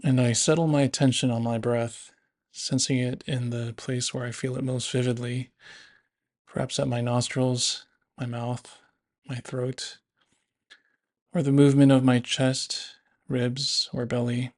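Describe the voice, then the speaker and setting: Man guiding a meditation, mid-pitched voice. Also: level tone, unhurried, a little mellow. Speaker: meditation guide. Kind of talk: guided body scan meditation narration.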